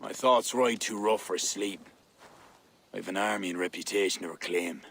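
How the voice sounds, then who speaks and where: An actor playing a weary, proud warrior king in a video game, grave and measured. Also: low voice, gravelly, fairly bright tone, full character voice. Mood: brooding resolve. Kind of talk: video game cutscene acting.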